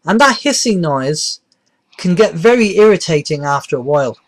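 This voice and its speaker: A man's voice: fairly high pitch, animated, normal speed, noisy background. A middle-aged man presenting an informal, step-by-step beginners' tutorial in a plain-spoken, explanatory way.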